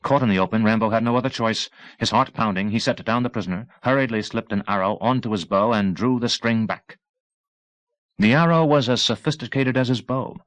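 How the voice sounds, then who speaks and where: A man reading a novel as a scripted, dramatic audiobook narration, with measured and deliberate phrasing. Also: mid-range voice, fairly bright tone, no room sound, poor audio.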